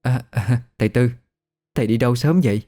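A man's voice: medium pitch, brisk, dark tone, close to an everyday voice. A man voicing an evasive young widow who lives on a river boat, with a Southern Vietnamese accent and a hesitant, plaintive manner, in an audio story. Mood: flustered.

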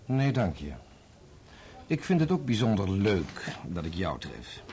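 An actor playing a wronged, bitterly sarcastic gentleman with a pointedly polite manner in a Dutch radio play. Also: low-pitched, sing-song, a little slow, slight background noise, exaggerated voice. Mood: bitter, accusing. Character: wronged, bitterly sarcastic gentleman defending his family's name.

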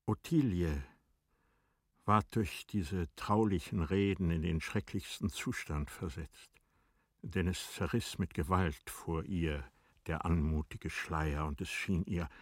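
Man narrator for a classic radio play, very low slightly husky voice, measured reading. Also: no room sound, clean studio sound. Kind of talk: scripted literary narration for radio drama.

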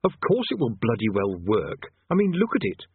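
A man's voice: mid-range voice, wildly swooping pitch, moderate pace, breathy edge, no echo, phone-quality sound, heavily acted. A man playing a weary, nervously cautious, sarcastic adult man with a dry, exasperated manner in an audiobook story. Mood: exasperated patience.

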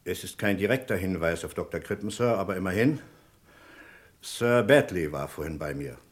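Polite stubborn detective, low voice, reporting to the boss, old radio crime drama. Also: male voice, animated, normal speed. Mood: careful. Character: deferential but determined police chief inspector. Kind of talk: vintage German radio crime drama acting.

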